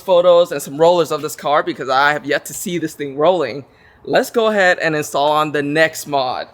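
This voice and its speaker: A young man talking casually to camera in a garage, excited and slangy as he shows off a car. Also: on the high side. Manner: excited, slangy, hypes things up. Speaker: car vlogger. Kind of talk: casual vlog talk to camera.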